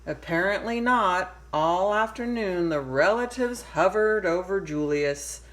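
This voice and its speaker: A woman reading a children's storybook aloud as narrator, expressive and deliberate. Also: on the low side. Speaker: storybook reader.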